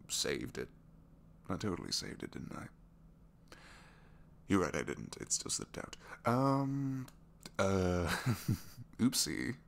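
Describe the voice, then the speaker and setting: A man playing a captured, reluctantly evil supervillain in a romantic ASMR roleplay, bashful and lovestruck, speaking softly and haltingly and slipping into whispers. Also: extremely low pitch, very animated, vocal fry, full character voice. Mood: flustered, bashful. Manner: halting, self-deprecating, soft confiding delivery slipping into whispers.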